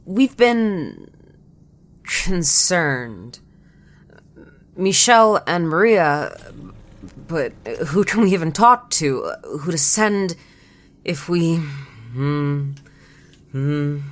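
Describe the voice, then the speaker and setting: A woman playing an odd, gentle, worried soul in an audio drama, speaking haltingly in fragments and murmured repetitions. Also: low-pitched. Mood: worried, drifting.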